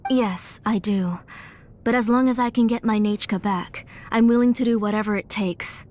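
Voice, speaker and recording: A woman playing an aging, devoted mother and engraver in a video game, measured and earnest, weary but resolute. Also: mid-range voice, steady tone, darkish tone, a little hiss or hum, natural voice. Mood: resolute, sorrowful.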